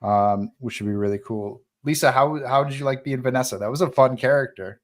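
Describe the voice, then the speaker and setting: A man's voice: medium pitch, a little fast. Casual man hosting a group livestream. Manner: conversational, prompting others for opinions. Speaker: host of a live online table read and Q&A.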